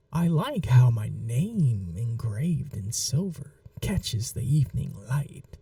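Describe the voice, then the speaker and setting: A man playing a possessive, seductive vampire in an intimate ASMR roleplay, speaking in a soft, breathy half-whisper with a languid, teasing, condescending manner. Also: medium pitch, no echo, recorded from a distance, exaggerated voice.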